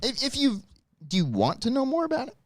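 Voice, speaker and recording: A man co-hosting a casual podcast chat about TV, speaking in an emphatic, animated way into a close microphone. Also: very high-pitched, a little fast, dry recording. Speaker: podcast co-host.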